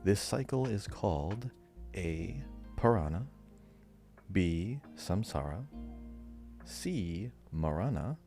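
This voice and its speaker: A man hosting a casual quiz podcast, reading out questions deliberately and repeating them. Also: low-pitched, animated, vocal fry, mellow, soft background music.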